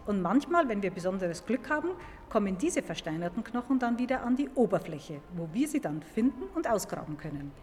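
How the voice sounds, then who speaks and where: A woman's voice: medium pitch, big pitch swings, fairly quick, a little mellow, faint music behind. A woman paleontologist explaining science to children in an informal, emphatic way, recorded in a large echoing museum hall. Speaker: museum paleontologist, guest expert.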